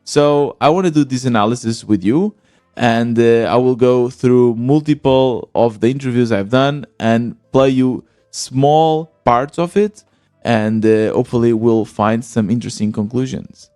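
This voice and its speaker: A man hosting a podcast in a plain, mid-pitched voice, earnest and thinking aloud as he goes, with small restarts. The sound is dry and close, with no room around it. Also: unhurried, dark tone, no room sound, faint music behind. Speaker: podcast host. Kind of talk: solo podcast monologue.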